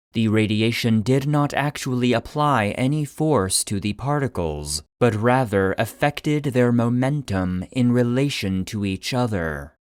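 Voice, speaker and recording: Slow, measured male narrator. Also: on the low side, smooth, no echo, clean studio sound. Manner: measured, clinical. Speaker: narrator and producer. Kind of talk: scripted narration.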